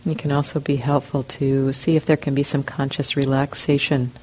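A woman leads a guided meditation, speaking slowly in short phrases with long pauses. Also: a bass voice, level tone, darkish tone, a little hiss or hum, rough recording. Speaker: meditation teacher. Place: meditation center hall.

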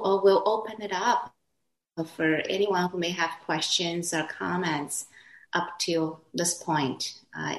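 Class moderator: low-pitched woman, first language Chinese, slow, gentle and hesitant, roomy echo on a video call. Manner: gentle, hesitant, with soft laughs. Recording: echoey room on a video call.

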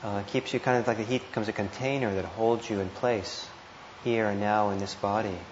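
Low-voiced man guiding meditation, roomy recording. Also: steady tone, fairly quick. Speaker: meditation teacher. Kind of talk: guided meditation instruction. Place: indoor meditation hall. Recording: muffled, roomy live talk with noise.

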